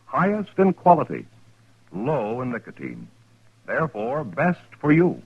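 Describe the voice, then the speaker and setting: A man's voice: medium pitch, wildly swooping pitch, a little slow, vocal fry, husky. A man announcing a 1950s radio cigarette commercial with a polished, emphatic sales delivery. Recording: dull, muffled old radio recording.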